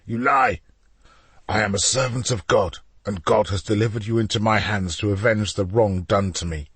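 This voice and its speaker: A man's voice: fairly low pitch, fairly bright tone, husky, dry recording, exaggerated voice. A man reading a story, voicing a deranged male prisoner with a throaty, menacing voice. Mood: raging. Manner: menacing, slow, hissing.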